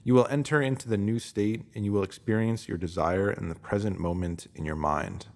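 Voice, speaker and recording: A man narrating a scripted self-help text in an instructive, measured way, posing emphatic rhetorical questions. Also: fairly low pitch, darkish tone. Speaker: narrator reading a written post.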